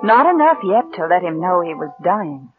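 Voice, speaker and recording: Cold murderess in muffled old radio drama. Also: female voice, lowish voice, sing-song, over music. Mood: cold, confiding. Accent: American. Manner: controlled, deliberate, menacing. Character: cold, calculating murderous woman, outwardly meek. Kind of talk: 1940s-50s American radio suspense acting and narration. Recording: muffled vintage radio recording.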